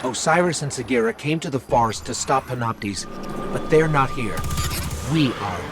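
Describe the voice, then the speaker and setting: A man's voice: medium pitch, lively intonation, moderate pace, heavy background noise, lightly acted. A man voicing a small, earnest robot companion in a video game, chatty and curious with an anxious streak. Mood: worried. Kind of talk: video game cutscene acting. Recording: music behind the voice.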